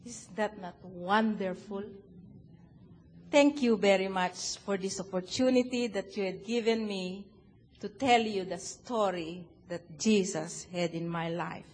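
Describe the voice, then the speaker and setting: An older woman from the Philippines with a Filipino accent, giving a personal faith testimony to a church congregation, animated and joking. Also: mid-range voice, a little slow, big-room sound, slight background noise. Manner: animated, joking, sings a hymn. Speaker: guest speaker.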